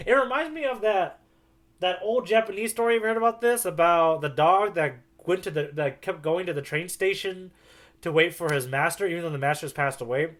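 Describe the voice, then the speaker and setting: Give a man with a slightly high voice, talking as a podcast co-host. He rambles along in casual conversation, with the enthusiasm of a fan. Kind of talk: casual chat.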